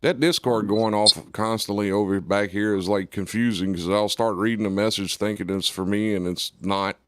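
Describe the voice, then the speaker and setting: Deep-voiced laid-back male co-host. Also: steady tone, normal speed, fairly bright tone, no room sound, studio quality. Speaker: radio show co-host. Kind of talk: casual radio chat.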